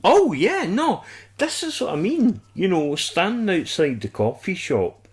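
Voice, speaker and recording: A man's voice: sits high, very animated, a little slow. A man chatting casually as a podcast host reviewing television, blunt, opinionated and free with his swearing.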